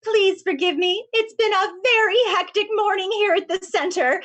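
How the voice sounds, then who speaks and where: Lady playing a scatterbrained therapist, very high voice, rambly and flustered. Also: normal speed, fairly bright tone. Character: flaky eccentric woman therapist, scatterbrained. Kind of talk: livestream script reading.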